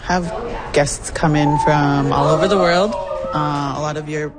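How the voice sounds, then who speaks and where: A woman from Canada speaking hesitantly in an interview as a tour company manager, with frequent pauses. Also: deep voice, steady tone. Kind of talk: conversational interview.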